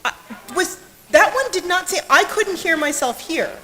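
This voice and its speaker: A woman's voice: very high voice, lively intonation, normal speed, bright, forceful tone. A woman speaking live into a podium microphone during a sound check, on a hissy live stream. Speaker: bema team member testing microphones. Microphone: podium microphones, sometimes speaking off mic. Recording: hissy live stream, sometimes distant from mic.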